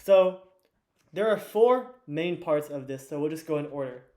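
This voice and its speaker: High school guy losing his voice, kinda high pitched, rambly teacher vibe with lots of uhs. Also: lively intonation, normal speed, warm tone. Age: teens. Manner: loose and chatty with many fillers and restarts. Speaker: student teacher of an investing course. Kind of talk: whiteboard lesson.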